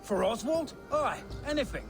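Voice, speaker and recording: An actor playing a rough, loyal Saxon commoner in a game, plain-spoken and fervent. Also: male voice, very high voice, big pitch swings, normal speed, over music, exaggerated voice. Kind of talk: video game cutscene acting.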